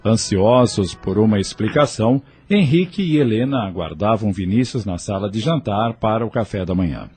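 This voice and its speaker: Deep, mellow male narrator. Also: animated, husky, faint music behind. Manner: measured, storytelling. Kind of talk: scripted audio drama narration.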